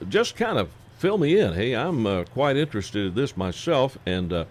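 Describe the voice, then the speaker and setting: A man hosting a casual radio interview, folksy and halting, with many fillers. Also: mid-range voice, very animated, darkish tone. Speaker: radio host.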